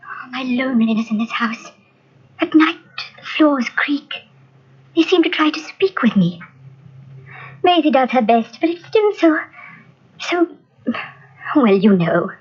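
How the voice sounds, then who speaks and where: A high, swooping genteel young wife from an old radio melodrama. She is sweetly coy and theatrical, on a dull vintage recording. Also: lively intonation, measured pace, pauses often, breathy edge, heavily acted. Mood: coy, plaintive. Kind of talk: old-time radio acting.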